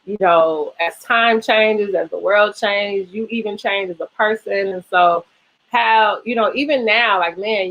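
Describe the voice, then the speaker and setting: Woman, American accent, interview host, effusive, encouraging, casual, dull lo-fi video call sound. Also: medium pitch.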